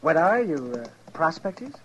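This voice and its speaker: A man playing a folksy, excitable older American town doctor in a 1950s radio western, hesitant in his phrasing. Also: fairly high pitch, moderate pace, muffled recording. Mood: curious. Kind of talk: 1950s radio drama acting. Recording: noisy old radio recording.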